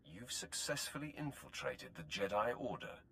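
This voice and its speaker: A man's voice: on the low side, big pitch swings, moderate pace, pushed and bright, slight background noise, full character voice. A man playing a stern, confident Sith warrior in a video game, speaking in terse, controlled, formal phrases. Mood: dutiful, confident. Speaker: voice actor in a game. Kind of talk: cinematic video game acting.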